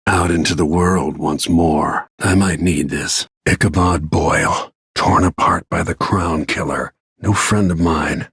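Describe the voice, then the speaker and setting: A man voicing a grim, battle-worn middle-aged royal protector and assassin in a video game, with terse, brooding, measured delivery and a gravelly, husky voice. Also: sits low, steady tone, a bit bright, no echo, studio quality, full character voice. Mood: grim, resolute. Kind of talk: scripted video game character lines.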